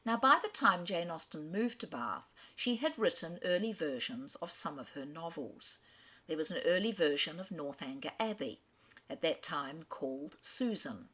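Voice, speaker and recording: A woman lecturer giving a literary talk, telling the story in an expressive way and acting out quoted lines. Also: low-pitched, normal speed, breathy edge. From Australia. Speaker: lecturer on authors.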